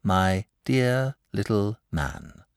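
A man voicing a gentle, lazy and cowardly male dragon who talks in a solemn, drawling way. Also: on the high side, wildly swooping pitch, moderate pace, dry recording, studio quality, pitched above the performer's own voice, full character voice.

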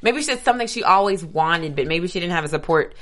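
A woman co-hosting a casual podcast chat with a husky voice and playful, sassy delivery. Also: lowish voice, quick pace. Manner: animated, laughs often, playful sass. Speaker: podcast co-host.

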